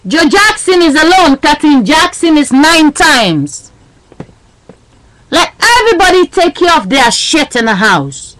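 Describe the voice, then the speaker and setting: A woman's voice: sits high, a bit bright, low-quality recording. A woman talking into her webcam in a rambling, agitated monologue, repeating herself and using broken, non-native grammar. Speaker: webcam vlogger. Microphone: built-in webcam mic.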